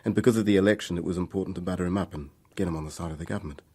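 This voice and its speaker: A man's voice: low-pitched, very fast, mellow, breathy edge. An actor playing a troubled young man making a hesitant, candid confession in a radio drama. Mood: burdened, rueful.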